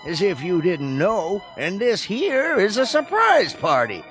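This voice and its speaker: Radio play: folksy rural American pal, high voice swooping with teasing excitement. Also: male voice, unhurried, soft background music, full character voice. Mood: gleeful. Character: boisterous teasing small-town buddy hosting a party. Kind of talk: radio drama acting.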